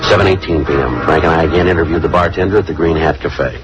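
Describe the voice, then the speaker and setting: Casting: low-voiced American police detective, terse and matter-of-fact, brisk, muffled old radio with music behind. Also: male voice. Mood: flat, factual. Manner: clipped, deadpan, terse. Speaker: detective and narrator. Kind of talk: 1950s radio police procedural narration.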